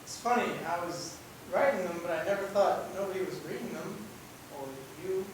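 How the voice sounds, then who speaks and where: A man's voice: sits high, fairly quick, lightly acted. Casting: male student actor as an earnest, childlike young man, plain-spoken, eager to learn; roomy, distant stage recording. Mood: shy, uneasy. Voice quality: ungrammatical, simple speech. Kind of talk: amateur student stage acting. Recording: echoey stage, distant mic, faint hiss.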